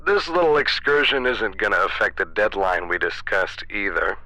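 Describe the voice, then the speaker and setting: A man's voice: on the low side, moderate pace, pushed and bright, dry recording, rough recording. An actor playing a pushy, condescending middle-aged father who lectures his grown child in an audio drama. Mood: dismissive. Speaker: protagonist's dad.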